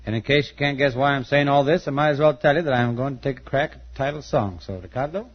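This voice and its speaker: A man's voice: mid-range voice, quick pace, soft background music. A man hosting a 1950s radio comedy show as interviewer and straight man. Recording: very noisy, muffled old radio recording.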